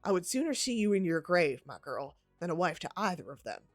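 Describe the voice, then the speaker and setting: Brief: gruff old farmer, read by a woman, low and terse, dry. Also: very animated, brisk, no room sound, soft background music. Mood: weary. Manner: curt and sardonic. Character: stern, angry old male farmer.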